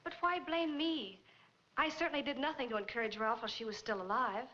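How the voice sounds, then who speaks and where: An American actress playing a poised, defensive young wife in a 1940s film noir. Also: female voice, fairly high pitch, a little fast, sharp tone. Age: young adult. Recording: dull 1940s film soundtrack with music.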